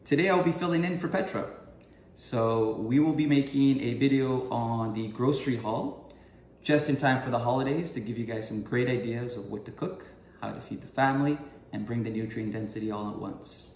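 A man's voice: medium pitch, moderate pace, darkish tone, slight background noise. Young guy doing a kitchen vlog, lots of ums, echoey room. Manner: chatty and enthusiastic, many "um"s. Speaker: food vlogger, guest host. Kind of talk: casual to-camera vlog. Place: home kitchen, indoors.

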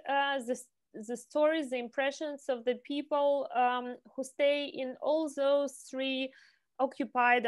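A woman in her forties with a Ukrainian accent, a film director answering questions on a video call from home, halting and full of fillers. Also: high voice, no room sound. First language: Russian. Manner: halting, many fillers and restarts. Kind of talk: online Q&A interview. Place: home room, indoors. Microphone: earphone headset on a video call.

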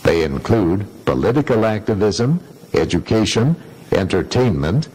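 A man reading a scripted radio news report in a measured broadcast delivery. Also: on the low side, very animated, background noise.

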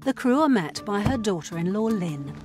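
A woman calmly narrating a documentary in a scripted, even way. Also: medium pitch, normal speed, music in the background.

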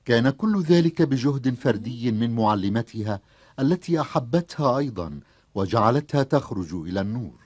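A man's voice: medium pitch, lively intonation, brisk, darkish tone, dry recording. A man narrating a children's cartoon story in scripted storytelling style.

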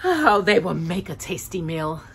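A woman's voice: sits high, very animated, unhurried, pushed and bright, pitched above the performer's own voice, full character voice. A woman reading a storybook aloud and voicing a wicked, gloating old female witch with a cackle.

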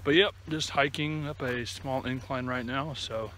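A man in his thirties records a casual hiking vlog outdoors on a handheld camera. His mid-pitched voice rambles along haltingly as he walks, with clear ambient noise behind him. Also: fairly even intonation, pushed and bright. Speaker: hiking vlogger. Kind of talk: casual vlog chat to camera while walking. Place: outdoors on a wooded trail and open meadow. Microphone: phone or camera at arm's length.

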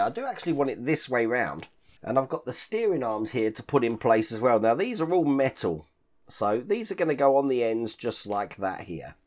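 Hoarse, husky-voiced man in his fifties, hobby-channel host, animated rise and fall as he explains each step. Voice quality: deep rough voice with occasional coughs. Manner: chatty, explains each step while handling parts. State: hoarse, croaky voice after illness. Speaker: model-building channel host. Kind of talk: casual hands-on build walkthrough. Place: home hobby room full of collectibles.

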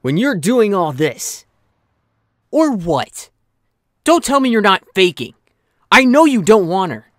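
A man's voice: high voice, big pitch swings, slow, pauses often, dry recording, studio quality, full character voice. A man voicing a hot-headed, protective young hedgehog hero in a cartoon comic dub, dramatic and earnest. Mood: angry, taunting. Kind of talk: fan comic dub acting.

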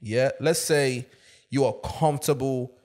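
A man co-hosting a casual chat, animated and heavy on slang. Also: mid-range voice, a little slow. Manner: animated, slangy, lots of "bro" and "you get me". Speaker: podcast co-host.

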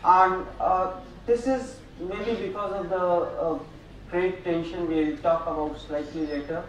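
Slow older man lecturing in an echoey hall. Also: high voice, fairly even intonation, distant mic. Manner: slow, halting lecture with frequent fillers. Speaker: keynote speaker. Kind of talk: academic conference keynote. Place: large auditorium at a lectern. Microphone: podium microphone, room sound. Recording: echoey hall, voice from afar, faint noise.

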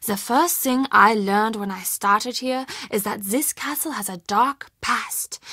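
A woman playing an earnest, defensive castle manager in a video game, speaking in a confiding, expressive, conversational way. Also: fairly high pitch, no echo, clean studio sound, full character voice. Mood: uneasy. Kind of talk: video game dialogue acting.

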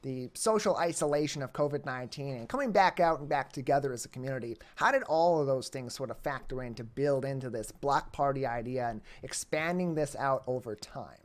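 A young man with a mid-range voice hosts a community TV interview by video call from an indoor room. He reads dates, times and directions in a clear, announcer-like way. His voice comes through a webcam mic. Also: mid-range voice, a little fast, few pauses. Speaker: interview host. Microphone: webcam or laptop mic on a video call.

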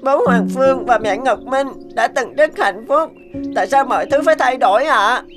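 Cartoon role: determined, pushy little schoolchild played by a woman. Fairly high register, insistent delivery. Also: moderate pace, over music, lightly acted. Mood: desperate, insistent. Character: determined, scheming young schoolchild. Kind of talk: cartoon dubbing.

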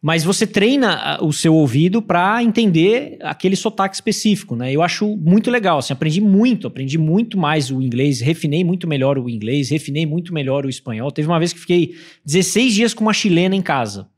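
Man, thirties, fairly high voice, lively storyteller, podcast studio mic. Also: a little fast, hardly any pauses, slightly rough. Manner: animated storytelling, acts out quoted people. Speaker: podcast guest, Airbnb host. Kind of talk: casual podcast chat. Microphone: studio mic with headphones.

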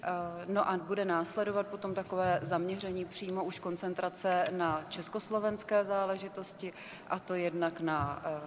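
A middle-aged woman formally introducing a conference panel in a halting way, with many filler sounds. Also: lowish voice. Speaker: panel chair. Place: large ornate hall indoors. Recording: reverberant hall with background noise.

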